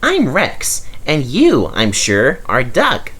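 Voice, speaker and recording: Small self-assured storybook locomotive played by a male reader: high, chirpy, animated, teasing. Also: slow, breathy edge, full character voice. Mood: cheerful. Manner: chirpy, confident.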